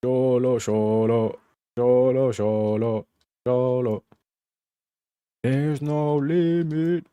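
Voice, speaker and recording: Slow-talking profane male streamer. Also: medium pitch, steady tone, clear, resonant tone, no echo, lo-fi sound. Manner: profane, joking, talks to chat while playing. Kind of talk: casual gaming livestream chat.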